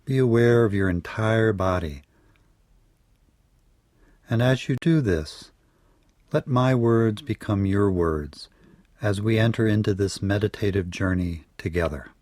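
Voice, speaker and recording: A man with a fairly low voice guides a meditation at a very slow pace. His delivery is soothing and measured, with phrase endings that trail off softly. The sound is dry and close. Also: no room sound. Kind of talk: guided yoga nidra meditation.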